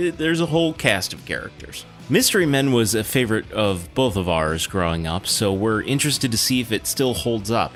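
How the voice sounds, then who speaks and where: Guy hosting a movie podcast, lowish voice, wry and jokey, just chatting. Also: a bit bright. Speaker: podcast host. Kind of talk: casual film discussion and show announcements.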